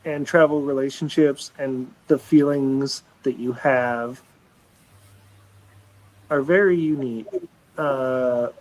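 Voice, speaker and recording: A man in his forties speaking at a middle pitch, with an everyday voice. He talks casually and earnestly, circling back and restarting his thoughts as he goes. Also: darkish tone, rough recording. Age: 40s. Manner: rambling and earnest, with frequent restarts and casual swearing. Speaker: livestream show regular. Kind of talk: casual live chat.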